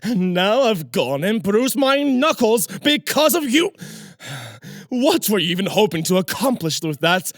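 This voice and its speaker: A man's voice: high voice, sing-song, a little slow, fairly bright tone, no echo, clean studio sound, exaggerated voice. A man playing a pompous, cruel nobleman sorcerer in a fantasy audio drama, gloating and menacing with theatrical flair. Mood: irritated contempt.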